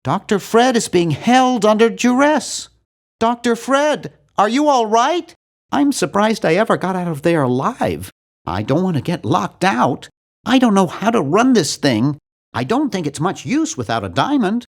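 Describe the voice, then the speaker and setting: A man voicing a nerdy, earnest and anxious young hero in a video game, with a fussy, animated delivery. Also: high voice, unhurried, full character voice. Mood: insistent. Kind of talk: video game character voice lines.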